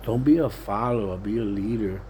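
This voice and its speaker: A man's voice: on the low side, normal speed, warm tone, a little hiss or hum. A plain-spoken, streetwise Puerto Rican man giving a casual, rambling, repetitive monologue. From Puerto Rican, living in Pennsylvania, USA. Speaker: vlogger.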